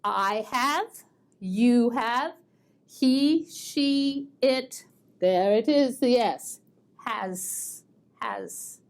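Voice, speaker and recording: An American woman teaching an online English grammar lesson, patient and methodical, repeating words slowly so learners can drill along. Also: fairly high pitch, very animated. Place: language school in Boston.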